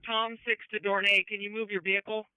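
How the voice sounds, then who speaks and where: A man with a high, clear voice speaks over a narrow scanner radio. His calls are clipped and functional, with a dry, thin sound. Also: flat delivery, a little fast, dry recording. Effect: two-way radio. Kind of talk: police radio traffic.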